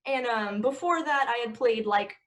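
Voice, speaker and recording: A woman's voice: a little high, expressive, moderate pace, fairly bright tone. A woman in her twenties, a podcast guest and actress, talking casually with lots of filler words and easy laughter. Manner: chatty, heavy with "like" fillers, laughs easily. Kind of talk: casual conversation.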